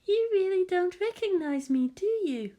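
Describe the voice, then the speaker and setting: Woman doing a pretty, creepy androgynous hybrid boy, super polite and teasing, voice up high, audiobook style. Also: lively intonation, normal speed. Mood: coy, teasing. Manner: genteel, gentle, teasing politeness. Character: coy, elegant, menacing androgynous hybrid youth disguised as a beauty.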